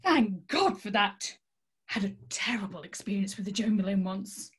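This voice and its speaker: A woman's voice: mid-range voice, lively intonation, normal speed, husky. A woman acting an eccentric, grandiose tarot reader in a comic stage scene, with pompous mystical pronouncements. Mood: theatrical, airy.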